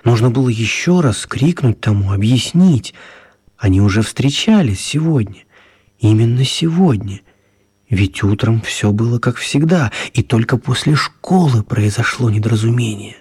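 An actor playing a storyteller, narrating a children's radio tale with measured dramatic pauses. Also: male voice, on the low side, darkish tone, slightly rough. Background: music.

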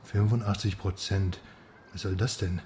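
A man's voice: sits low, fairly even intonation, moderate pace, darkish tone, natural voice. Male actor doing a talkative western journalist guy, teasing and theatrical, radio drama, noisy background. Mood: playful musing. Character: chatty, mocking Western male journalist in East Berlin. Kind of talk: naturalistic radio crime drama acting.

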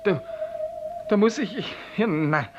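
An actor playing a timid, apologetic, dutiful office clerk with a hesitant, deferential manner in a 1950s German radio drama. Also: male voice, high-pitched, lively intonation, unhurried. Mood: flustered, apologetic. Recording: muffled, noisy 1950s radio recording.

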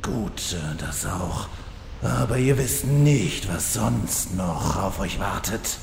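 An actor playing a pompous demon lord in a comedy audio drama, gravelly and drawn-out, who keeps getting interrupted. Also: male voice, deep voice, lively intonation, a little hiss or hum, full character voice. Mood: menacing. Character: ominous demon lord quoting his own boast. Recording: roomy echo with long reverb.

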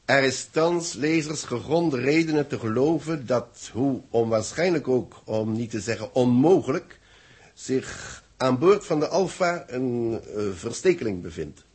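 An actor playing an authoritative man dictating the story of a space mission, measured and formal, in a 1960s radio drama. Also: mid-range voice, a little mellow, breathy edge. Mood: formal, grave. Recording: muffled-voiced old 1960s radio recording.

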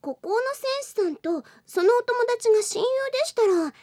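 A woman playing a polite, demure anime schoolgirl co-hosting a character radio show with formal, gentle manners. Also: extremely high pitch, lively intonation, moderate pace, no room sound, studio quality. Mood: earnest.

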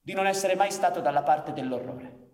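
Actor as high-voiced defiant anarchist intellectual. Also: male voice, darkish tone, natural voice. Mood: impassioned. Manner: ironic, declamatory, deliberate. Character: defiant, idealistic, doubt-ridden male anarchist intellectual. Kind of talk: theatrical radio drama acting. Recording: echoey hall with background noise.

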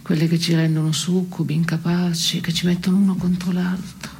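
Dry matter-of-fact lady in a stage play, low-ish, blunt and terse, slight hiss of noise. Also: flat delivery, a little fast, creaky, darkish tone. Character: dry, blunt woman calmly philosophising about death. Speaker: stage actress. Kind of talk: dry two-hander theatre dialogue.

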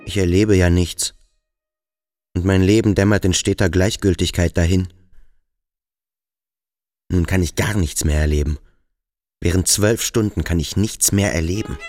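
Yearning, introspective schoolboy a deep voice, radio drama, close dry sound. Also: male voice, steady tone, measured pace, pauses often, darkish tone, no echo, soft background music. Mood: desolate. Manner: introspective, literary. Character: melancholy, yearning schoolboy lost in his thoughts. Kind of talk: radio drama acting, interior monologue.

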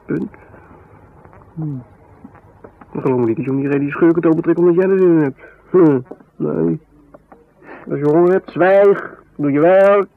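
An actor playing a weary, sardonic artist husband in a 1970s radio drama, grumbling and bitter, with a husky voice. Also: male voice, fairly high pitch, normal speed, pauses often. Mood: brooding, bitter. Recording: thin phone-like vintage radio sound.

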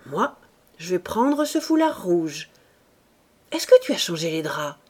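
A coarse, scheming middle-aged man, as a woman reader puts him on, sly and eager, with lively swings in pitch. His talk stays slow and deliberate.

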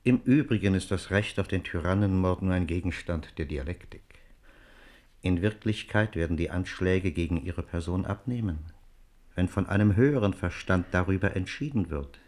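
A man acts a suave Jesuit envoy in a radio play. His voice is low and his delivery formal and measured. He insinuates with cool, calculating cynicism. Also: a little mellow, lightly acted. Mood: coolly reasoning. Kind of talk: German radio play acting.

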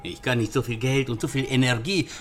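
An actor playing a cautious, proud Venetian printer in a radio drama, haggling good-humouredly with small interjections. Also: male voice, medium pitch, fairly quick, close to an everyday voice. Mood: cautious bargaining. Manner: hesitant bargaining with "eh" tags and Italian interjections.

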